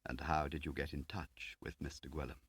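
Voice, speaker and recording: A man reading the part of an ageing spymaster, quiet, courteous, precise and probing, in a spy audiobook. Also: a bass voice, steady tone, fairly quick, husky, no room sound. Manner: understated, precise, questioning.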